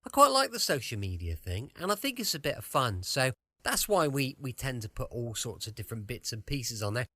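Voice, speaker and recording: A man's voice: medium pitch, sing-song, fairly quick, pushed and bright, no echo. A British man chatting in a casual, rambling way as he introduces a radio show as its host. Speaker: podcast host.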